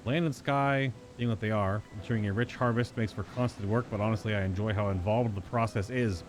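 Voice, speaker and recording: A man voicing an earnest, contented farm worker from a fantasy game, reading the dialogue aloud in a polite, measured manner. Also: fairly low pitch, steady tone, natural voice. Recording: music behind the voice.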